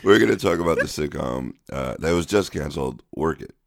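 A man co-hosting a casual comedy podcast, joking and laughing through quick back-and-forth chat. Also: a bass voice, animated, vocal fry, dry recording, studio quality. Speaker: podcast co-host.

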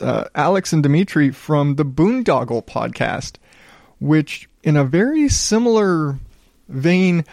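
A man in his thirties hosting his own podcast, rambling casually in a calm, smooth voice and poking fun at himself. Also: fairly high pitch, no room sound, studio quality. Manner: rambling, self-deprecating, reads reviews aloud. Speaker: podcast host. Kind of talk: casual solo podcast monologue.

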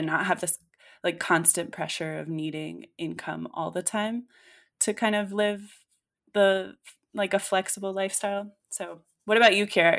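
A woman's voice: fairly low pitch, gravelly, fairly bright tone. A woman in her late twenties, co-hosting a podcast in casual chat, talking freely with many fillers and restarts. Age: late 20s. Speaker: podcast co-host.

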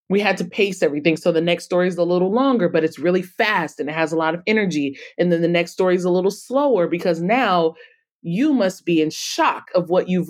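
Casting: woman with a fairly low voice, expressive interviewee in an easy conversation. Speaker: podcast guest, author and publisher.

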